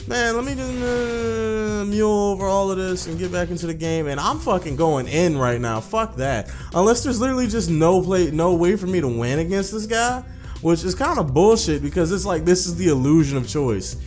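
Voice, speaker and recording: A man's voice: high voice, animated, moderate pace, a little mellow, soft background music. A man doing a casual let's play, swearing and repeating himself in slang as he comments and reads game text aloud. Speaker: gaming video host.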